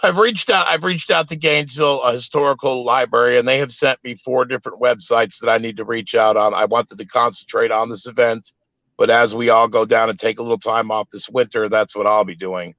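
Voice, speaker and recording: Deep gravelly guy, earnest, keeps restarting, dry and kinda dull audio. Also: steady tone, fairly bright tone, no echo. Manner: earnest, halting, many restarts. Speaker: guest, owner of a paranormal site.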